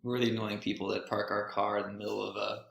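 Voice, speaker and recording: A man with a bright, fry-edged voice tells stories casually as a podcast co-host. He is animated and jokey, and the room adds a noticeable echo. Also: lowish voice, quick pace. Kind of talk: casual conversational storytelling. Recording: roomy echo.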